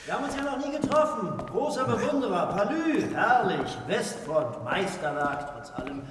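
High-pitched, swooping, unctuous Nazi minister. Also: male voice, very animated, normal speed, noisy background. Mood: gushing, falsely jovial. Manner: effusive, repetitive, theatrically insistent. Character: unctuous, menacing Nazi minister, effusive then bullying. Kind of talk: radio drama acting. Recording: echoey hall with music.

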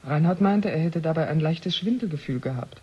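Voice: mid-range voice, expressive, brisk, breathy edge. An actor playing a proud, territorial scientist in a 1970 German radio play, matter-of-fact and self-assured. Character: proud, territorial scientist defending lab-made life. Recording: muffled old radio recording.

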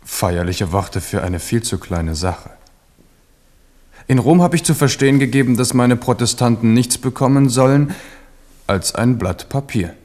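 A man's voice: mid-range voice, expressive, a little slow, a little mellow, natural voice. An actor playing a proud, defiant king in a radio play, speaking with emphatic force. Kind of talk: 1970s radio play acting.

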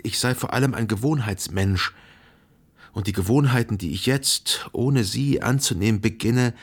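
An actor playing a melancholy, self-deceiving gentleman, a jilted lover narrating a literary radio drama in a measured, formal and carefully articulated way. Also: on the low side, slightly rough. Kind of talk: literary radio play narration, reading a letter.

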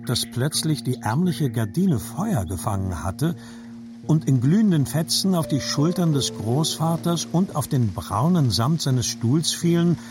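A man narrating a scripted literary story in a measured, reflective manner. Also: mid-range voice.

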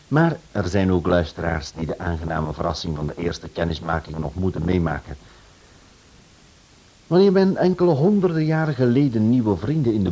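A man's voice: low-pitched, mellow, breathy edge, poor audio. A man, a radio director giving a scripted introduction to a drama series.

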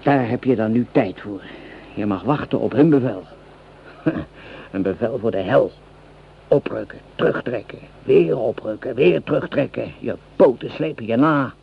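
An actor playing a cynical, hot-tempered French common soldier in a Dutch radio play, coarse and sarcastic in manner. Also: male voice, mid-range voice, a little slow, creaky, slightly rough, heavily acted. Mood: bitter. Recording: dull, noisy old radio play recording.

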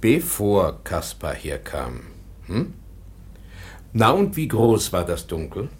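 An actor playing a gentle, protective schoolmaster in a radio drama, soothing and deferential. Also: male voice, lowish voice, wildly swooping pitch, takes it slowly, slightly rough, full character voice. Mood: patient, soothing. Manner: soothing simple phrases, deferential to superiors. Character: gentle, patient schoolmaster professor, protective guardian. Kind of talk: German radio drama acting.

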